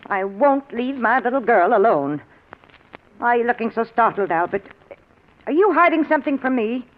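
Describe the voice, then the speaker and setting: A woman's voice: a little high, sing-song, moderate pace. Domineering older mother-in-law, muffled vintage radio. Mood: suspicious, stubborn. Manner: accusing, imperious, self-righteous. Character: domineering, suspicious older mother-in-law, possessive of her daughter. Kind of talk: 1940s radio acting. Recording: dull vintage 1940s radio recording.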